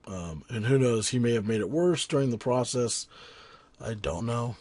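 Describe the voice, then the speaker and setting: A man teaching audio cleanup in a casual tutorial, rambling with frequent ums and restarts, his voice raspy from a cold. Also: mid-range voice, expressive, moderate pace, darkish tone, no room sound. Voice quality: husky. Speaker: sound engineer teaching a tutorial. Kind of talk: casual screen-recorded software tutorial.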